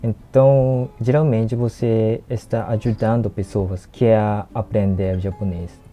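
A young man with a Japanese accent speaking careful, slow second-language conversation, with soft laughs. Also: fairly low pitch, level tone, soft background music. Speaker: host, language learner. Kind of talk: casual chat. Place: home room with gaming chair. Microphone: earphones on video call.

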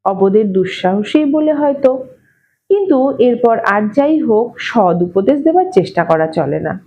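A woman narrating a literary short story expressively, in a measured, wry manner, as an audio story reader. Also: fairly high pitch, animated, dark tone, a little hiss or hum. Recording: slightly dull, muffled audio.

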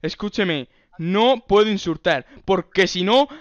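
A man's voice: high-pitched, measured pace, no room sound, lo-fi sound. A man voicing an officious, short-tempered Basque police officer in an improvised phone prank, insistent and easily exasperated. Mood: irritated, threatening. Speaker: prank caller.

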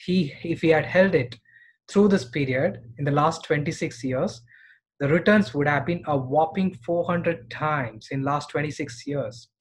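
A man's voice: on the high side, poor audio. A middle-aged man giving informal investment commentary as a finance vlogger.

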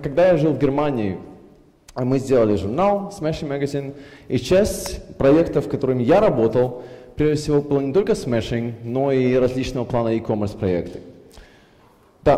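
A man in his thirties from Belarus, with an unusual accent from years in Germany, giving a lively conference talk on a headset mic with lots of fillers. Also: mid-range voice. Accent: mixed, from Belarus and years in Germany. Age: 30s. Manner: lively, gestures a lot, many fillers. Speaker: conference speaker. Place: large conference hall with audience. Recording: reverberant hall.

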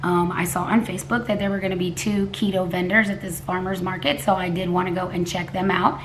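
A woman in her forties from Texas, hoarse, chatting casually to camera at home, rambling with restarts and coughs. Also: fairly low pitch, level tone, a little fast, slight background noise, low-quality recording. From Texas, USA. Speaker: cooking vlogger. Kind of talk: casual vlog talk to camera. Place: living room at home, indoors. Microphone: camera a few feet away at a table.

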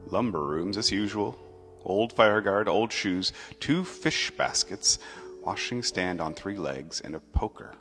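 A man narrating a classic story in a theatrical, measured and emphatic storytelling style. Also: lowish voice, expressive, a bit bright. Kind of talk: dramatic narrated reading of a classic Christmas tale. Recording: long reverb, music underneath.

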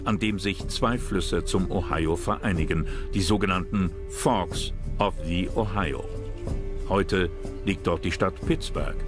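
Male narrator for history documentary, deep voice, scripted read over music.

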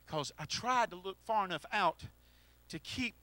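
High, bright-voiced preaching man. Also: animated, normal speed, no room sound. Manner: swings from shouted exhortation to quiet storytelling; preaches while pacing the platform. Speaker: pastor. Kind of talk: sermon. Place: church sanctuary. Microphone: handheld mic.

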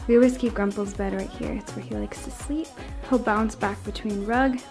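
Chatty upbeat woman over soft music. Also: mid-range voice, steady tone, moderate pace. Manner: chatty, upbeat, talks viewers through each step. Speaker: home vlogger. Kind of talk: casual cleaning voice-over.

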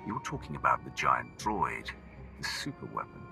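An actor playing a proud, defiant dark warrior ruler in a video game, commanding and scornful. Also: male voice, rumbling low, big pitch swings, normal speed, vocal fry, exaggerated voice. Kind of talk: video game cutscene acting. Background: game music.

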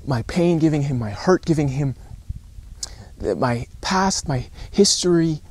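Earnest, slightly high-voiced male preacher. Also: lively intonation, darkish tone, husky. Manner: earnest, deliberate, with emphatic repetition and pauses. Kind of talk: informal recorded sermon to camera. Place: outdoors on a rocky shore by calm water.

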